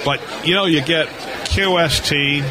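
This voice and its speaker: A man's voice: a little high, unhurried, crowd noise. An American man hosting a casual live radio chat, talking in a folksy, rambling way and repeating words. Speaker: radio show host. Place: booth on busy convention floor. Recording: noisy convention hall floor, live broadcast.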